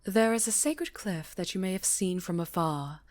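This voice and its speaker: A woman voicing a stern, cautious high enchantress and mentor, speaking gravely and formally in a fantasy roleplay. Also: moderate pace, a little mellow, lightly acted. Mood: solemn. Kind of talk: fantasy ASMR roleplay acting.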